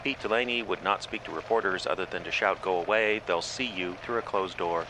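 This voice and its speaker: A small-town male newsreader played by an actor reads mock headlines with a straight face. His voice is low, over clearly audible background noise. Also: fairly quick, lightly acted. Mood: deadpan reporting. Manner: deadpan. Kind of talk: scripted mock news report.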